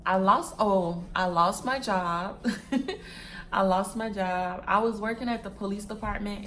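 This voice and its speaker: A woman's voice: medium pitch, a bit bright, a little hiss or hum. A woman in her twenties chatting casually to her viewers, repeating phrases and calling them "y'all" and "sis" while she packs wigs. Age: 20s. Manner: packing wigs while talking. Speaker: vlogger.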